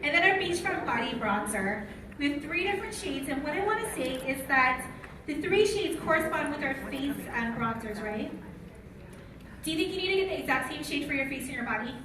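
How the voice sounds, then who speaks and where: A woman's voice: high voice, expressive, fairly quick. An American woman giving a live product training, speaking informally and putting questions to her audience. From lives in Utah, United States. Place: large room with an audience. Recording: echoey room, distant mic, noisy.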